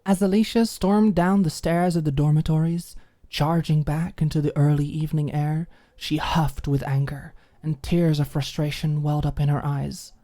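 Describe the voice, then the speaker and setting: A woman reading scripted fiction as an expressive audiobook narrator. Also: low voice, moderate pace.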